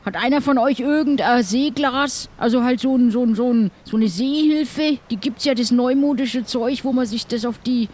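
A man's voice: extremely high pitch, normal speed, few pauses, darkish tone. A man playing a cheeky, rambling pirate with a southern German accent in an improvised audio play. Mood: bossy, indignant. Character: cheeky, chatty male pirate, easily indignant.

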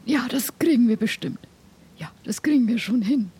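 A woman voicing an eager, helpful crew companion with a gentle, encouraging manner. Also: fairly high pitch, moderate pace, breathy edge.

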